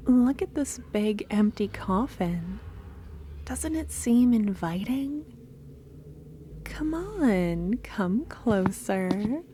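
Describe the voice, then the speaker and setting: A woman playing a loving, possessive female cultist kidnapper in a soft-spoken ASMR roleplay, breathy and coaxing, drifting into whispers. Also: mid-range voice, expressive, extremely slow, background noise. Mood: eager, coaxing.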